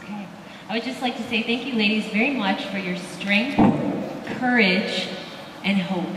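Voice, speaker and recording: A woman's voice: medium pitch, normal speed, noisy background. A woman hosting an event on stage, upbeat and encouraging as she calls on judges and finalists. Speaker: event host. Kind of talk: emcee on stage. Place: large event hall. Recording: echoey hall from far off with crowd.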